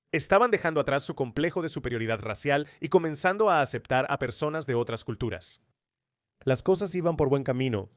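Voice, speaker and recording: A man's voice: mid-range voice, no echo, studio quality. A man presenting a scripted political commentary monologue in a polemical, sardonic manner. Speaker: commentator and host of opinion video.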